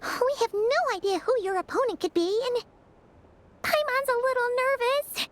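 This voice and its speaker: A woman voicing a tiny, excitable floating companion in a game cutscene, bubbly and talking about herself by name. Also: very high voice, moderate pace, background noise. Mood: eager.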